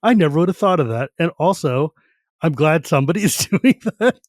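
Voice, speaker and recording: A man hosting a casual podcast chat, relaxed and laughing often, using easy slang. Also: on the high side, fairly quick, no echo, clean studio sound. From United States. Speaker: podcast host.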